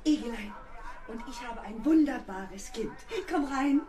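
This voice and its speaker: A woman's voice: fairly high pitch, a little slow, dark tone, natural voice. An actress playing an eccentric, defiant, free-spirited mother in a children's radio play, with a theatrical, flippant manner. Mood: cheerful. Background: music.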